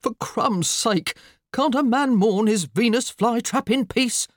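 Audiobook dad, acted by a woman: middle-aged, woeful, put-upon, snuffly and sighing, very expressive pitch. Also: normal speed, slightly rough, no echo, clean studio sound. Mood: exasperated. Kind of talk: audiobook character voice.

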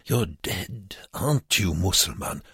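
A man's voice: mid-range voice, lively intonation, slow, gravelly, husky, no echo, clean studio sound, voice pushed up, full character voice. A man voicing a bitter, goading concentration camp prisoner in an audiobook, taunting and urgent. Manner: taunting, urgent, sometimes whispered. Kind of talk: audiobook character voice.

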